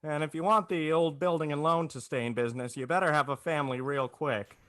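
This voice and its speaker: A man's voice: fairly high pitch, steady tone, brisk, fairly bright tone, dry recording, studio quality, lightly acted. A man playing an earnest, flustered small-town family man in a 1940s radio drama, stammering in a folksy way. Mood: excited.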